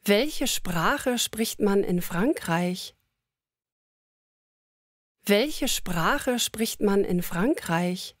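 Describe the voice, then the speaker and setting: A woman reading lines of a slow scripted conversation for language learners, repeating each sentence. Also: medium pitch, animated, no room sound, studio quality. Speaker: language lesson voice.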